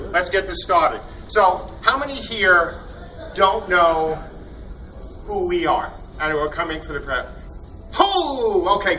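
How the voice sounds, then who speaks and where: A man emcees a convention panel, joking his way through rambling introductions full of fillers. His voice sits a little high and lands from some distance off the mic. Steady noise from the hall fills the recording behind him. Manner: jokey, enthusiastic, rambling with fillers. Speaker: panel host introducing team.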